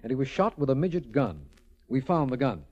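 A man's voice: mid-range voice, sing-song, brisk, a little mellow. An actor playing a sober, businesslike male district attorney in a 1940s radio drama. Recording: dull old radio recording.

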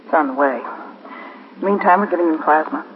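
A woman's voice: low voice, fairly quick, close to an everyday voice. An actress playing a composed woman hospital doctor in a 1950s radio police drama, clipped and matter-of-fact. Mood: grave, matter-of-fact. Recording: muffled, noisy 1950s radio, phone-thin.

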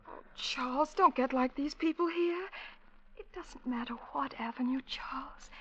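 An actress playing a dreamy, fearful young woman in love in a radio drama, breathy and wistful, often near a whisper, in 1940s radio style. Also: high-pitched, a little slow. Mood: rapturous. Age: young adult. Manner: wistful, rapturous, hushed. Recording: dull vintage radio recording.

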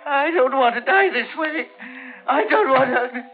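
A frail, fearful old man speaks slowly and haltingly in a 1940s radio drama. The recording is muffled, with organ music clearly behind him. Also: extremely high pitch, expressive, full character voice. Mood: terrified pleading. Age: older. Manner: halting, fearful, brooding monologue.

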